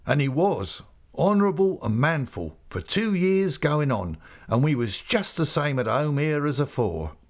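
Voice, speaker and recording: A man's voice: on the high side, big pitch swings, a little slow, pitched above the performer's own voice. A man voicing a hearty old male fisherman who talks in a broad rustic dialect. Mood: earnest.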